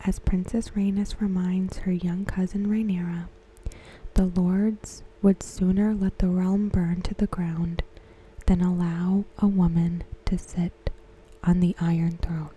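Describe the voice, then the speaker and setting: A woman whispers softly and breathily in an ASMR style, very close to the mic. Her delivery is slow and gentle, with a very dark, relaxed tone as she reads from a script. There is faint noise behind her. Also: on the low side, low-quality recording. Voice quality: soft, breathy, mostly whispered. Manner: gentle, measured, deliberate phrasing. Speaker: ASMR host. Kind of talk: ASMR, softly reading a scripted episode synopsis. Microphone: close microphone.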